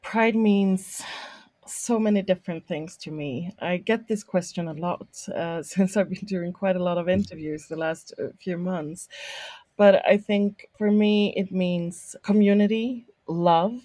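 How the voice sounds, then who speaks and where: Woman guest, earnest interview answers, mellow low voice, dry sound. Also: a little slow, no echo. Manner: earnest, thoughtful, with fillers. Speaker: guest, event director.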